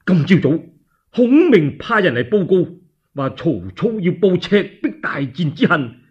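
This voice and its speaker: A man's voice: high-pitched, slightly rough, lo-fi sound, exaggerated voice. A man voicing a loyal general in a one-man radio drama, insistent and alarmed as he presses his lord.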